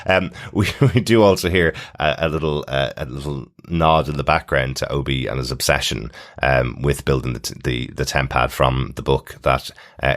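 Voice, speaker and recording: Male podcast co-host with a very deep bass voice. Chatty, thinking-aloud delivery for informal episode discussion. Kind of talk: casual TV recap discussion.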